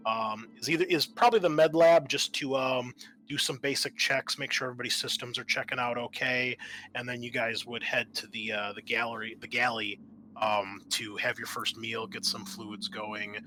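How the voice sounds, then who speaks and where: Male game master, medium pitch, bright tone, halting explanatory narration, faint background noise. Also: fairly even intonation, low-quality recording. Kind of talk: narrating a tabletop roleplaying game.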